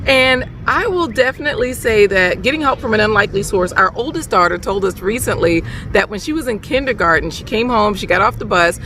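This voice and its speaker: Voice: mid-range voice, expressive, fairly quick, few pauses, bright, forceful tone, a little hiss or hum. A middle-aged parent co-hosting a story show, chatting casually and conversationally. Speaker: co-host. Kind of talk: casual family chat and sung echo responses.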